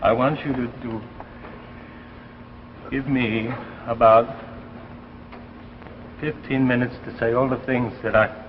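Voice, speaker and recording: An actor playing an anxious, earnest husband in a 1950s radio drama, halting and desperate. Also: male voice, mid-range voice, fairly even intonation, slight background noise, natural voice. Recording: dull old radio recording, faint music.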